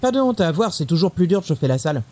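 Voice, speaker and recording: An actor playing a wry office colleague who tells melodramatic tales in an audio comedy. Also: male voice, fairly high pitch, very animated, very fast, a little mellow, a little hiss or hum. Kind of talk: amateur audio comedy drama.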